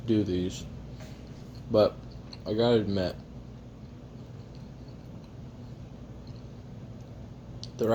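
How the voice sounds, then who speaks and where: A low-voiced, gravelly male assassin played by a man confides his memoirs to a recorder. He is weary and sardonic, halting between phrases and swearing casually as he eats. Faint background noise sits under the audio drama monologue. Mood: dry, sardonic. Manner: halting, sardonic, casually profane, talking while eating. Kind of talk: first-person confessional audio roleplay monologue.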